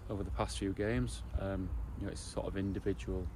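A man in his forties, coaching a football side, answers questions beside the pitch after a match. His voice sits low and flat, and he talks haltingly with lots of restarts and fillers. Clear outdoor noise hums behind him. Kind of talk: post-match interview.

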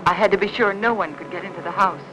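An actress in her thirties with an American accent playing an anxious, pleading housewife in a fifties film melodrama, halting under strain. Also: mid-range voice, music in the background, lightly acted, rough recording. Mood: inward, fearful narration. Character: anxious, pleading suburban housewife guarding a secret. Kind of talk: 1950s melodrama film acting. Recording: hissy old 1950s film soundtrack.

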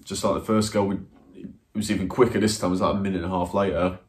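A man's voice: deep voice, steady tone, fast. A man, reviewing a football match to camera from a small room, hesitant with many ums and restarts. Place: small indoor room, plain wall. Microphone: camera in front, seated.

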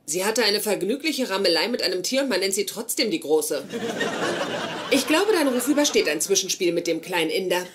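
An actress playing a blunt, socially awkward neurobiologist with formal, academic phrasing in a dubbed sitcom. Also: female voice, medium pitch, lively intonation, brisk, lightly acted. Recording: noisy sitcom soundtrack.

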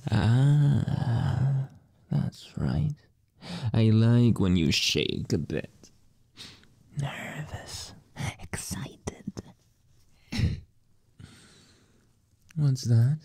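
A young man doing a whispered ASMR roleplay as a teasing boyfriend, talking slowly in breathy whispers with soft chuckles into a close microphone. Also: medium pitch, sing-song, no echo.

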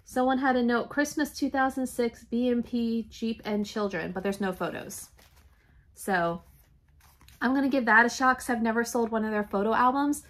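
A woman, chatting casually in a home craft room, slightly raspy and congested from allergies, clearing her throat now and then. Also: fairly high pitch, moderate pace, fairly bright tone. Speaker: reseller vlogger. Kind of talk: casual shop-with-me haul chat.

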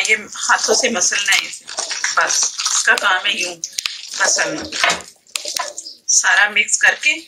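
A woman's voice: medium pitch, background noise. A woman gives a casual, chatty cooking demonstration in her home kitchen. She explains each step as she works and often restarts her sentences. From Pakistan. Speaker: cooking channel host. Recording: clear kitchen clatter behind. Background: pots, pans and clicks.

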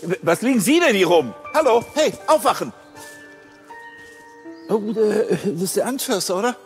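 A man's voice: high-pitched, expressive, moderate pace, soft background music. An actor playing a nervous, grumbling policeman in a crime comedy audio drama, stammering and repeating words. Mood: agitated, flustered. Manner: stammering, repeats words, tags sentences with "nicht?".

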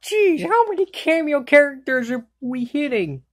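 A woman voicing an excitable, wisecracking girl in an amateur anime comedy fandub, full of exclamations. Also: high-pitched, unhurried, slight background noise. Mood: alarmed. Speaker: fan dub voice actor. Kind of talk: amateur cartoon fandub line readings.

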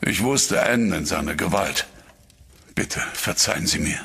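Remorseful elderly professor for horror radio drama played by a man, gravelly, husky and tense. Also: deep voice, expressive, unhurried, bright, forceful tone, exaggerated voice. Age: older. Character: frightened older male professor, guilt-ridden.

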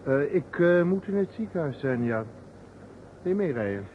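An actor playing a self-assured, contrary surgeon in a radio play, speaking in a clipped, assertive way. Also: male voice, a little high, sing-song, normal speed. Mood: brisk, bossy. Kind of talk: Dutch radio drama acting. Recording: tinny, noisy old radio recording.

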